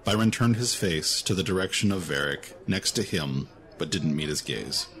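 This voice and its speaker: A man's voice: low-pitched, lively intonation, normal speed, fairly bright tone. A man narrating a scripted fantasy story as an audiobook narrator.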